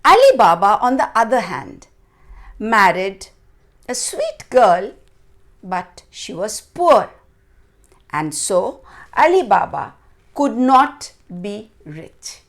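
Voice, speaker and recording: A woman telling a children's story in an expressive, deliberate way, with dramatic phrasing and stressed words. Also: medium pitch.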